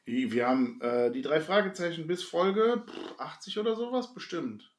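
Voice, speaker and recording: A man's voice: fairly high pitch, animated, normal speed. A man chatting casually as a podcast co-host about nerdy interests. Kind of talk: casual nerd chat. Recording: roomy echo, distant microphone.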